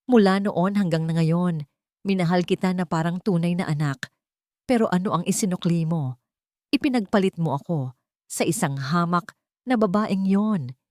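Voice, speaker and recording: A woman's voice: lowish voice, normal speed, pauses often, darkish tone, no room sound, clean studio sound, lightly acted. A woman voicing a possessive older woman in a melodramatic story, anguished and accusing as she confesses. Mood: desperate, bitter.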